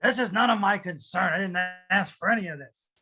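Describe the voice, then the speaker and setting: An actor playing a bitter, stubborn old man with a husky voice and curt, scolding manner in an animated film table read. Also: medium pitch, a little fast. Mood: irritated.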